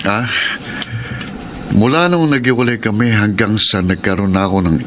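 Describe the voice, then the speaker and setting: A man's voice: lowish voice, lively intonation, normal speed, a little hiss or hum. An actor playing a troubled man torn between his new partner and his former wife, speaking in a brooding, confessional way in a classic radio drama. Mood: confiding. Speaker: letter writer narrating his story. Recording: radio drama with loud music bed.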